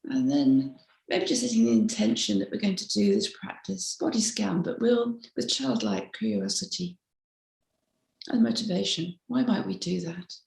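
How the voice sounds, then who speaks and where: Woman doing a slow gentle body scan meditation, really creaky voice, roomy echo. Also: medium pitch, very animated. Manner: gentle, unhurried, with soft instructive phrasing. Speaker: mindfulness teacher leading a body scan. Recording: roomy, echoey sound.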